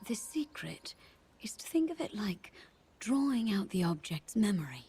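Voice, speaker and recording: An actress playing a gentle, perceptive queen in a game cutscene, speaking in a soft, breathy near-whisper. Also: mid-range voice, sing-song, moderate pace. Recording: game cutscene over music.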